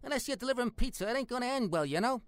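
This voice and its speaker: A brash Italian-American hard man in a comedy sketch, with a very high-pitched voice and a New York accent. He is profane, slangy and menacing, recorded close and dry. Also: lively intonation, very fast, dry recording. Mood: earnest, brash. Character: foul-mouthed, bigoted Italian-American tough guy, brash and menacing. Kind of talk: comic dialogue acting.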